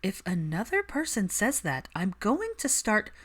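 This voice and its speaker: A woman's voice: medium pitch, wildly swooping pitch, a little slow, fairly bright tone, voice pushed up, full character voice. A woman voicing an earnest, strong-willed young witch with a firm, argumentative manner in audiobook dialogue. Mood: defensive.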